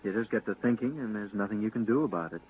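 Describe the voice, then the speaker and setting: Low-voiced, earnest, homesick spaceman, acted by a man. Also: fast talker, close to an everyday voice. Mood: wistful. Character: wistful married spaceman, quietly homesick crewman. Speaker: radio drama actor. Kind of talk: 1950s radio drama acting. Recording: tinny, hissy 1950s radio recording.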